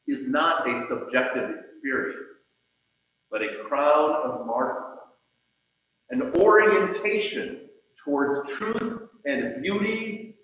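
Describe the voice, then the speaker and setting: A male preacher with a fairly high-set voice speaks slowly and deliberately, giving weight to his phrases. He is heard from a distance in a very reverberant room as he delivers his sermon. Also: fairly bright tone. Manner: measured, deliberate phrasing with emphatic pauses. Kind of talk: sermon with read-out quotations. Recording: echoey room, distant muffled mic.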